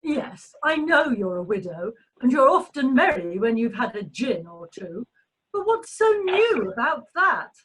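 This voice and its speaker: Woman playing a snobbish lady, very animated pitch, arch, exclamatory, catty, radio play. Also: a little slow, low-quality recording. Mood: arch, teasing. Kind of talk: amateur radio play acting.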